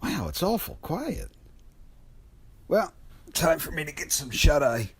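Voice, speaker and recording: Man playing a husky, wisecracking trucker. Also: on the high side, sing-song, a little slow, heavily acted. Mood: uneasy, calling out. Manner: folksy, colloquial, wisecracking. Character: weary, skeptical, joking male truck driver. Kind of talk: radio mystery drama acting.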